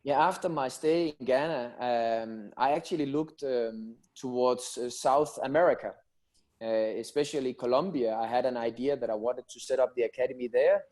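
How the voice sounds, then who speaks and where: A man's voice: mid-range voice, normal speed, darkish tone. A man telling his own story as an interview guest, in an earnest, reflective manner. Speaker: football academy founder and guest. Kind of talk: personal story told in a webinar interview.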